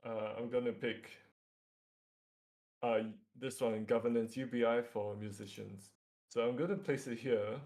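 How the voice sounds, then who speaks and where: A man's voice: medium pitch, steady tone, unhurried, a little mellow. A man from Singapore with a Singaporean accent, leading a workshop as an informal lecture through slides, with hesitant phrasing and frequent check-in tags. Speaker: workshop facilitator.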